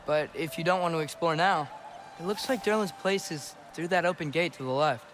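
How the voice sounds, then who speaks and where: A man's voice: fairly high pitch, brisk, fairly bright tone, faint music behind, close to an everyday voice. An actor playing an earnest, curious teenage boy adventurer in a video game, with an eager, casual way of talking. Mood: inquisitive. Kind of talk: naturalistic video game acting.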